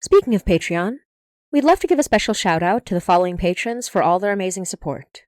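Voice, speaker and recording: A woman's voice: medium pitch, lively intonation, quick pace, a little mellow, no room sound. A woman podcast showrunner reading scripted credits and announcements into a close mic.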